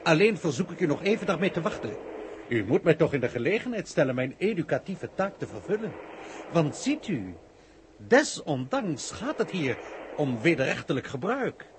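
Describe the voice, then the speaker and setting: An unctuous road police inspector in a 1960s radio play. His fairly high voice swings widely as he lectures with formal, long-winded courtesy. The old recording is slightly noisy. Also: very animated, moderate pace. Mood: unctuous, lecturing. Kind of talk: 1960s Dutch radio drama acting.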